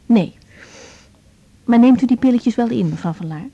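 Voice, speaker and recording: A young woman doctor with a fairly high, soft-edged, mellow voice. She is patient, polite and gently firm, with some hesitation, in a slightly dull radio drama recording. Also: animated, moderate pace, slightly rough. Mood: patient, pressed. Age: young adult.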